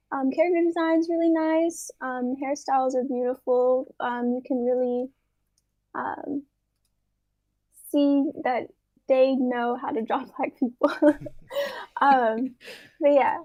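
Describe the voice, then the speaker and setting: A woman with a high voice talks slowly through a casual presentation, pausing often on ums. The sound is dry and close, as on a video call. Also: darkish tone, no room sound, highs cut off. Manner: hesitant, many ums. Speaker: workshop presenter. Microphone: video call with dropouts.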